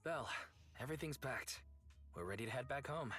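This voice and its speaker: A man's voice: mid-range voice, animated, brisk, a bit bright, faint music behind, lightly acted. An actor playing a concerned, level-headed young man in a video game. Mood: calm concern.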